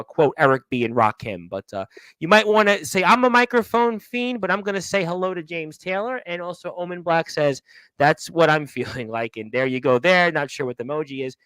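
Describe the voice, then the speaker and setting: A man's voice: a little high, fairly bright tone, no echo, low-quality recording. A man from Brooklyn, hosting a radio show and chatting in a rambling way, greeting listeners as he goes. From Brooklyn, New York. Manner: rambling, chatty, full of uh fillers. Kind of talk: casual chat with shout-outs to listeners.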